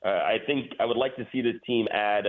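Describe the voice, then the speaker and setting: Male sportscaster guest, lowish voice, conversational and explanatory, heard down a narrow phone connection. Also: brisk. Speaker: radio play-by-play announcer, interview guest. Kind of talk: casual sports talk interview. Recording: tinny phone line.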